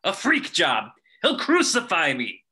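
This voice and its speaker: Man acting agitated old crime boss, wildly swinging pitch. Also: huge pitch swings, unhurried, pushed and bright, slightly rough, full character voice. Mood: agitated, bitter. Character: aging, agitated, sardonic male crime boss. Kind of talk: dramatic table read of animated film script.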